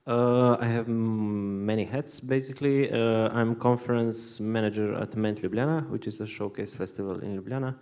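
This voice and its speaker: A man with a Slovenian accent gives a casual introduction of himself on a panel. His voice is a little low. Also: steady tone, normal speed, hardly any pauses, a little mellow. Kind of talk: casual spoken self-introduction.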